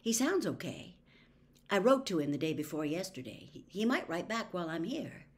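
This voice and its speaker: Woman as an earnest teenage boy, animated. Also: lowish voice, normal speed. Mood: soft. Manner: soft, earnest.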